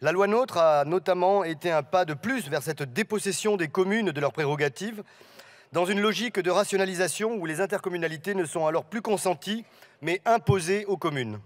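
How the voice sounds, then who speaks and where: Male politician, high voice, reading a formal statement in debate. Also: fairly quick. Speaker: politician speaking for a parliamentary group. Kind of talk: formal read political speech.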